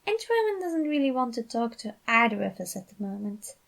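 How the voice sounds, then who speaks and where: A gentle, worried fatherly man, acted by a woman, pitched well up. He speaks hesitantly and soft-heartedly, trying to stay positive as a storybook character. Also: very animated, a little fast, vocal fry, exaggerated voice. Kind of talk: acted dialogue in a fan fiction reading.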